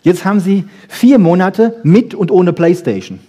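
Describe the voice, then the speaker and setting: Male lecturer, higher-set mellow voice, informal and insistent, teacherly delivery. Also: lively intonation, normal speed. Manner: emphatic, didactic, with frequent check-in questions. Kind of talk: informal public lecture on research.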